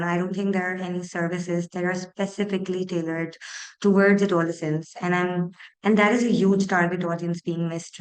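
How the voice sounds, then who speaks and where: A woman hosting and moderating a panel discussion, posing long, measured, multi-part questions. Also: lowish voice, poor audio. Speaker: host and moderator.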